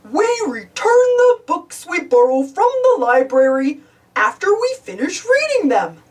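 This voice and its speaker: A woman voicing a friendly big male dinosaur in a storybook, gently explaining. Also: very high-pitched, very animated, a little slow, far from the mic, voice pushed up, exaggerated voice. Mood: gentle.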